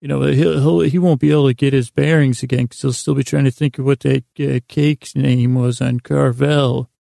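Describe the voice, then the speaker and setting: Male podcast host, heavy vocal fry and relaxed tone, delivering a meandering, self-interrupting bedtime monologue. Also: mid-range voice, no room sound, clean studio sound. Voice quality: gravelly, creaky. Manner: meandering, halting, self-interrupting, gently silly.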